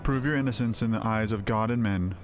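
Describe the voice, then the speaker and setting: A man's voice: lowish voice, brisk, close to an everyday voice. A man voicing a stern, zealous inquisitor in a fantasy game, pompous and severe. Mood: severe, solemn. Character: stern, zealous male inquisitor presiding over heresy trial. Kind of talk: fantasy game dialogue read aloud. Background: game music.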